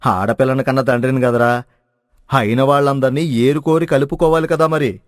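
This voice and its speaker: A man voicing an affectionate older village brother in an animated story, speaking in a familiar, earnest way. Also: medium pitch, moderate pace, no echo, studio quality, lightly acted.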